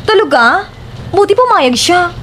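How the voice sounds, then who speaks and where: Babaeng gumaganap na maawaing kaibigang Pilipina, mataas ang boses. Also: female voice, very high voice, animated, measured pace, fairly bright tone, a little hiss or hum, heavily acted. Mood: sympathetic. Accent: Filipino. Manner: sympathetic, coaxing. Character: soft-hearted young woman, sympathetic friend and flatmate. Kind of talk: Tagalog audio horror drama acting.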